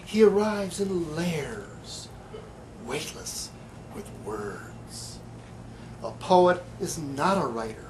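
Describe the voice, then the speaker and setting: A man giving a live poetry reading in a bookstore, with halting, hesitant asides and deliberate delivery. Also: a little high, big pitch swings, crowd noise. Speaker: poet. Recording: light background room noise.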